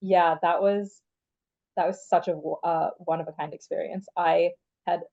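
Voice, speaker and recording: A woman's voice: on the low side, steady tone, normal speed, warm tone, lo-fi sound. A young woman from Canada, the guest in a casual interview, talking in a chatty way with many "like" fillers. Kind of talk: casual conversation.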